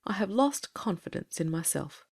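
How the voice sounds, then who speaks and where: A woman's voice: mid-range voice, sing-song, moderate pace, creaky, darkish tone, breathy edge, full character voice. A woman playing a troubled, earnest middle-aged male Victorian doctor in an audiobook drama, formal and fervent. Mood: uneasy. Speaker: audiobook cast reader. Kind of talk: dramatic reading of Victorian fiction.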